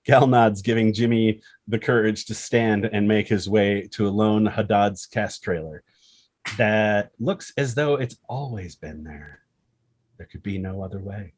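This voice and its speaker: A man's voice: fairly low pitch, measured pace, a little mellow, husky. A man reading stage directions as scripted narration for a screenplay table read.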